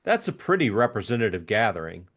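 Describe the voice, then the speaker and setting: A man voicing a lighthearted, teasing young gentleman in an audiobook, with an exclamatory, musing manner. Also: lowish voice, very animated, fast talker, full character voice. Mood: incredulous musing. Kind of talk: audiobook character acting.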